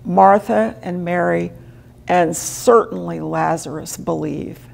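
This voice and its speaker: Slow, gravelly woman preaching a sermon. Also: on the low side, a little mellow. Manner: slow, deliberate preaching with emphatic pauses. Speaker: pastor. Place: small chapel in front of a stone wall.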